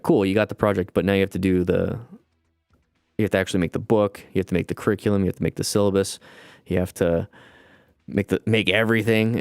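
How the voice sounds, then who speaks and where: Smooth-voiced man in his thirties. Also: fairly low pitch, no room sound, clean studio sound. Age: 30s. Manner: rambling, relaxed, talks to chat as "guys". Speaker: livestream host. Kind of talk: casual livestream chat, reading viewer comments.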